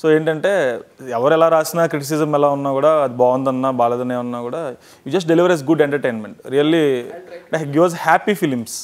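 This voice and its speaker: A man's voice: a little high, moderate pace. A talkative, encouraging man with a Telugu accent hosting a casual interview, switching between two languages. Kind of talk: casual promotional film interview, mixing Telugu and English.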